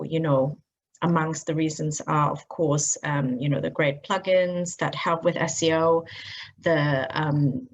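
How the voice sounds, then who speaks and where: Woman, lowish voice, lots of ums, chatty walkthrough of her dashboard. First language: German. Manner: hesitant, many fillers and restarts. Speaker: blogger presenting a tutorial. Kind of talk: casual screen-share walkthrough.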